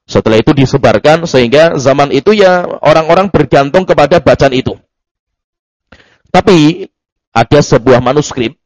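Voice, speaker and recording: Halting male religious teacher, dry. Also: fairly high pitch, no room sound, low-quality recording. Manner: loose, halting lecture with many restarts and asides. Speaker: Islamic teacher. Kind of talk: religious study lecture.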